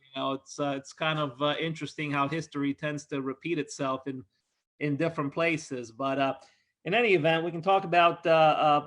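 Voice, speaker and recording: A man's voice: on the high side, fairly bright tone. A man in his forties from Romania, a lawyer guest answering interview questions earnestly with frequent hesitations. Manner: earnest, hesitant with many fillers.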